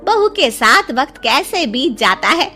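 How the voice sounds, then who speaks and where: A woman's voice: sits high, big pitch swings, a little slow, pushed and bright, soft background music, full character voice. A woman voicing a cheerful, easygoing middle-aged mother-in-law in a cartoon, chatty and agreeable. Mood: amused.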